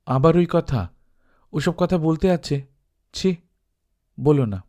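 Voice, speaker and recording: A shy young village bride from a storybook, read by a man. The manner is coy and affectionate, with gentle, playful teasing. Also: a little high, lively intonation, slow, pitched above the performer's own voice. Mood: tender scolding. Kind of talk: storybook dialogue.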